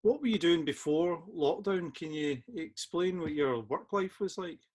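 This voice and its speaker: A man's voice: on the high side, lively intonation, unhurried, darkish tone. A man asking brief, plain interview questions from away from the microphone.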